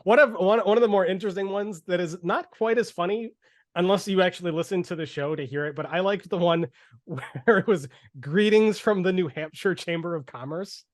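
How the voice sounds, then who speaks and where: A man's voice: sits high, brisk, no echo, clean studio sound. A man co-hosting a podcast over a video call, chatting casually and laughing often. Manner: laughs often, restarts phrases. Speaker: podcast co-host. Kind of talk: casual chat.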